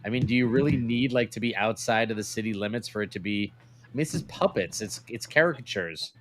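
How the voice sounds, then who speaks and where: A man's voice: lowish voice, fast, fairly bright tone. A man co-hosting a podcast in casual, sarcastic banter, needling as he talks. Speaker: podcast co-host.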